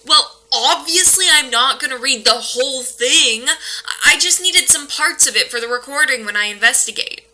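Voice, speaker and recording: Paranormal investigator, high sharp voice, earnest, urgent, know-it-all, horror audio drama. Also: female voice, moderate pace, few pauses. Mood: scolding, explaining. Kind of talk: audio play acting, naturalistic horror dialogue.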